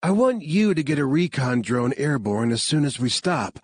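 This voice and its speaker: A man's voice: mid-range voice, animated, normal speed, breathy edge, no room sound, studio quality, voice pushed up. A man voicing a frustrated young tech specialist in an audiobook. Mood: frustrated, urgent.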